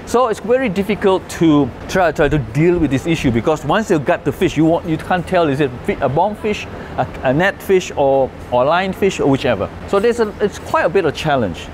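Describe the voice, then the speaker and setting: A man's voice: fairly high pitch, very animated, brisk, hardly any pauses, a little mellow, a little hiss or hum. A middle-aged man from Malaysia giving a conservation talk and answering questions, explaining in a hesitant way with frequent restarts. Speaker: conservation speaker. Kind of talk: presentation with audience Q&A.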